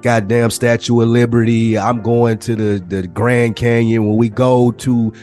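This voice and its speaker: A man in his thirties co-hosting a podcast, telling stories in a casual, animated way with lots of casual swearing. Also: mid-range voice, a little slow, soft background music. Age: 30s. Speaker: podcast co-host. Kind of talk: casual chat, storytelling.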